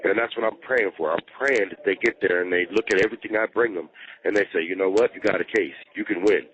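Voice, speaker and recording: A man's voice: sits low, quick pace, a bit bright. A man talking casually on a jail phone, explaining things repetitively with slang and swearing. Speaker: jail inmate on a phone call. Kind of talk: casual personal phone chat. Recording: tinny jail phone line.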